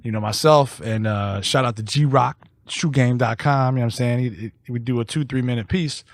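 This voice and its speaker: A man's voice: medium pitch, a little fast, dry recording. A man from Detroit with an American accent, chatting casually as a podcast host in slangy, profane talk. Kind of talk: casual chat. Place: podcast studio.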